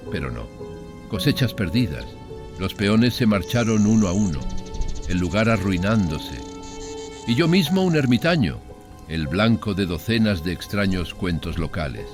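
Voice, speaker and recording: Low-pitched male horror storyteller over music. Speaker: narrator of horror stories. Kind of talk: dramatic audiobook narration in first person.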